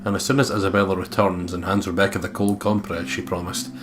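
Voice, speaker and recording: A man's voice: deep voice, level tone, fast, over music. A man reading a horror visual novel aloud as a dramatic, brooding first-person narrator. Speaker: let's player reading the game aloud.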